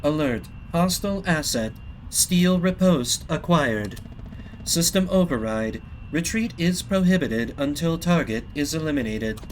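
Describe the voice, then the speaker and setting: A man's voice: fairly high pitch, unhurried, a little hiss or hum, low-quality recording. A man doing a cold machine AI voice. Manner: clipped, flat announcements.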